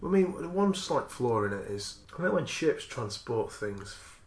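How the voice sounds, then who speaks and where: A man's voice: medium pitch, very animated, normal speed, a little mellow. A man in casual conversation, swapping anecdotes about films in an informal, sweary storytelling manner. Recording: roomy, echoey sound.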